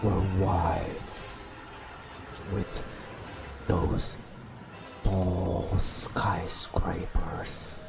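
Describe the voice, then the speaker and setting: A man narrating an ASMR bedtime story in a soft, breathy, often whispered voice, in halting short phrases. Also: sits low, flat delivery, over music.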